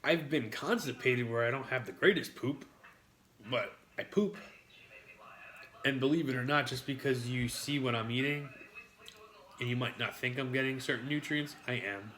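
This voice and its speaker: A man's voice: mid-range voice, moderate pace, long pauses, a bit bright, a little hiss or hum. A man with a New York accent eating on a live stream, rambling to his chat with frequent swearing and jokes. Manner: rambling, profane, jokey with chat.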